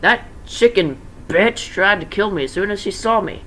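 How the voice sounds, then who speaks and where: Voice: mid-range voice, lively intonation, moderate pace, fairly bright tone, pitched above the performer's own voice, close to an everyday voice. A performer voicing a cocky, sarcastic male animatronic bear in a fan fiction reading. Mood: indignant. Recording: noisy amateur home recording.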